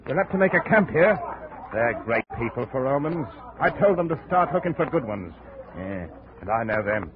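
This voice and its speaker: A man acting a seasoned, hard jungle guide with a gruff, domineering manner in a 1950s radio drama. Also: mid-range voice, very animated, moderate pace, crowd noise, natural voice. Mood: grim, knowing. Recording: scratchy, narrow-band 1950s radio recording.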